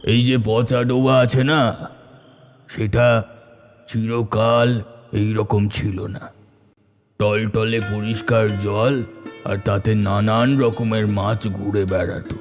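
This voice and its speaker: A man playing a solemn older villager, reminiscing in measured cartoon storytelling. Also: lowish voice, flat delivery, soft background music.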